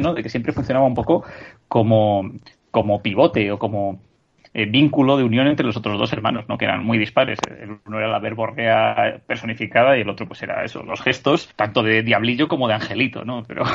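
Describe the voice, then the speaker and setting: A man's voice: fairly low pitch, brisk, vocal fry, husky, muffled recording. A man from in a casual film discussion on a podcast, explaining at length and checking for agreement. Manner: talkative, explanatory, seeks agreement with tag questions. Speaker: podcast panelist.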